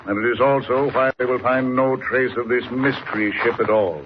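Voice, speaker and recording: An actor playing a sinister, boastful professor in a 1940s radio drama, speaking in a measured, smug, theatrical way. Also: male voice, medium pitch, fairly even intonation, natural voice. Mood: gloating. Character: sinister, smugly boastful male professor villain. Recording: noisy, dull old 1940s radio recording.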